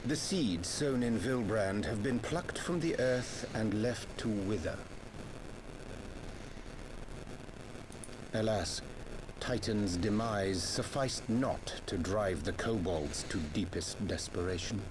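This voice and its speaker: Man as grave scholarly conspirator, low voice, archaic formal diction, measured, fantasy game, noisy stream audio. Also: lightly acted. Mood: grave, foreboding. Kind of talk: theatrical fantasy video game acting.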